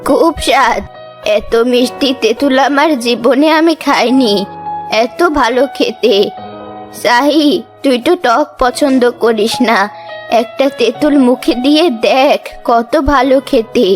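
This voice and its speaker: Children's cartoon granny acted by a woman: simple-hearted, homely old villager, high-pitched, over background music. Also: a little slow. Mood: delighted. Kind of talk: Bengali children's cartoon dubbing. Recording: music behind the voice.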